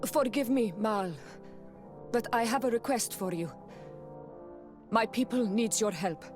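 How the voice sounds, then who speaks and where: A woman's voice: fairly high pitch, a little slow, darkish tone, soft background music, close to an everyday voice. A woman playing a proud young native clanswoman in a video game, speaking formally and insistently as her clan's emissary. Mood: earnest pleading.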